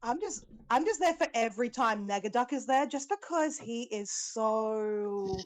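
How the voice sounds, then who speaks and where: Playful woman, slow and lilting, higher voice. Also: expressive. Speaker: stream guest. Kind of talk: casual chat. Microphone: phone on a voice call.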